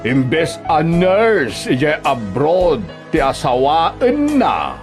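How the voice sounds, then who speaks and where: A man's voice: fairly high pitch, wildly swooping pitch, extremely slow, exaggerated voice. Scornful, swooping Ilocano father-in-law. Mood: bitter, angry. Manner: mocking, haranguing. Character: scornful, bullying middle-aged father-in-law, quick to anger. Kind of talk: radio drama acting. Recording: background music under radio drama.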